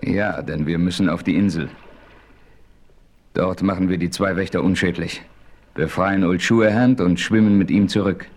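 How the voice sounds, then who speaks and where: A man's voice: low-pitched, fairly even intonation, moderate pace, darkish tone. An actor playing a resolute, commanding Western adventurer who narrates his story in a 1970s radio play. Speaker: narrator and lead character. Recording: faintly noisy old radio play recording.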